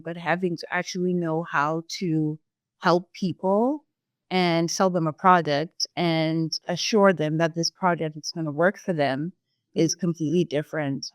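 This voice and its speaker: A young woman from Zimbabwe, a sales employee answering interview questions in a thoughtful, measured way, with some fillers. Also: lowish voice, no echo, clean studio sound.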